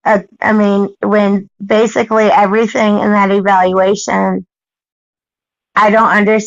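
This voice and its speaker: An American woman pressing her point on a recorded phone call, insistent and halting, often repeating herself. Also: mid-range voice, little pitch movement, vocal fry, no room sound. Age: adult. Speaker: parent questioning a caseworker. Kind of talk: argumentative phone conversation.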